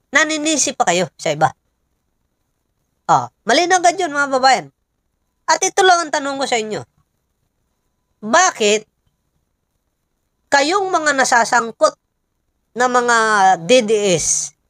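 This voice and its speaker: A woman's voice: fairly high pitch, fairly bright tone, dry recording, studio quality. A woman with a Filipino accent giving casual opinion commentary, conversational and full of rhetorical questions to viewers. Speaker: political commentator and host.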